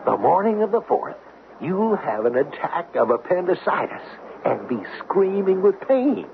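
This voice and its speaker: A man's voice: high voice, big pitch swings, a little slow, vocal fry, heavily acted. A man playing a scheming, cocksure convict in an old-time radio drama, talking in a folksy, urgent, confident way. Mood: conspiratorial, confident. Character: scheming, cocksure male convict planning a prison breakout. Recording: dull, noisy old radio recording.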